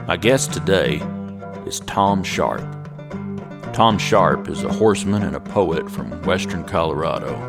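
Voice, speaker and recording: A man hosting a cowboy culture podcast, reciting a poem and introducing his guest in a slow, measured way. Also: low voice. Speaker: podcast host. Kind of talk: poetry recitation and show introduction.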